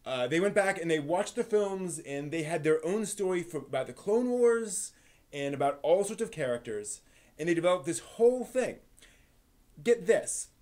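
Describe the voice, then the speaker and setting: Higher-voiced man, casual stream host. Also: normal speed. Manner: animated storyteller, emphatic, conversational. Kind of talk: casual enthusiastic monologue to stream viewers.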